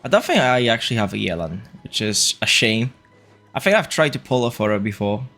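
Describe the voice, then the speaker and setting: A man's voice: lowish voice, sing-song, a little fast, bright, forceful tone. Casual male streamer with faint game music. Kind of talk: casual livestream chat while playing.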